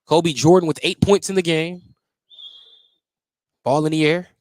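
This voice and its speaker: A man's voice: fairly high pitch, fairly even intonation, unhurried, darkish tone, no echo, studio quality. An American man giving live radio play-by-play commentary as a basketball announcer. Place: indoor high school basketball gym. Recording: clearly noisy live gym broadcast.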